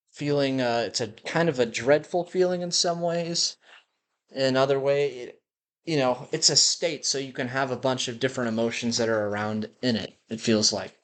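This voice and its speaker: A man with a slight lisp rambling through a personal audio journal in a musing, self-deprecating way, recorded on a built-in laptop mic while walking around a house. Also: mid-range voice. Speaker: vlogger. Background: dogs' claws clicking.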